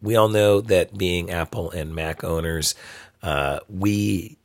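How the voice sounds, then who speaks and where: A man hosting a solo podcast, sounding hoarse from a cold, talking casually with frequent ums. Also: deep voice, takes it slowly, no echo. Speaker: podcast host. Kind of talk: solo tech podcast talk.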